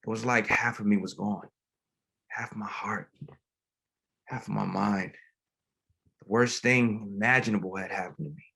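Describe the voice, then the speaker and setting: Low-voiced, reflective pastor played by a man. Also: steady tone, vocal fry, sharp tone, slightly rough, full character voice, low-quality recording. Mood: grieving, subdued. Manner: reflective, plain-spoken, halting. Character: grieving, gentle, reflective male pastor, widowed father. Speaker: actor in a table read. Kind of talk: dramatic screenplay table read.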